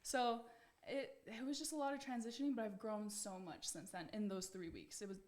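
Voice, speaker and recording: A woman's voice: medium pitch, fairly even intonation, fairly quick, darkish tone. A young American woman giving conversational interview answers in an earnest, reflective way. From United States. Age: young adult. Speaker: pageant titleholder being interviewed.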